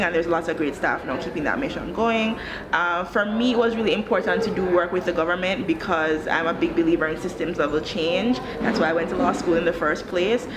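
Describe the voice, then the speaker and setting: A woman from Jamaica in her thirties, an education director answering interview questions in a thoughtful, articulate, measured way. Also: on the low side. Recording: background noise.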